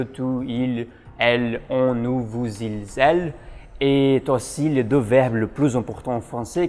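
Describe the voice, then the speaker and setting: A man narrates a vlog from a script. He speaks carefully and deliberately, like a learner. His voice sits at a middle pitch. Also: darkish tone. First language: Portuguese. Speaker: vlogger.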